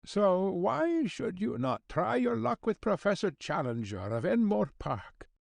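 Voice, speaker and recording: A man's voice: high-pitched, big pitch swings, measured pace, gravelly, dry recording, pitched above the performer's own voice, heavily acted. A man voicing a crabbed but kindly old Scottish news editor, fussy and avuncular, in an audiobook. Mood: coaxing. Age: older. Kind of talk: audiobook character dialogue.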